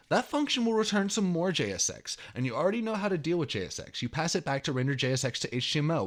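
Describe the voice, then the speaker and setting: A man in his thirties presenting a programming tutorial, mixing expressive, emphatic reading aloud with casual walkthrough narration. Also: medium pitch. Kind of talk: dramatic reading of article mixed with casual screencast walkthrough.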